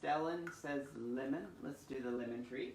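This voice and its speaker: Male children's storytime host, mid-pitched, expressive and sing-song, recorded in an echoey room. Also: normal speed. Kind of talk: reading picture books and leading finger rhymes. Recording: roomy echo with faint background noise.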